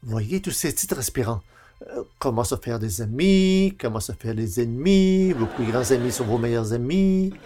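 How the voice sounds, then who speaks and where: A man's voice: medium pitch, animated, normal speed, dark tone, slight background noise, close to an everyday voice. Hapless, theatrical comic everyman played by a man. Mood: eager, theatrical. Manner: hesitant, theatrical, exasperated. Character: hapless, grumbling everyman, failed singer turned bookseller. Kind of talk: comic old-time radio acting in French.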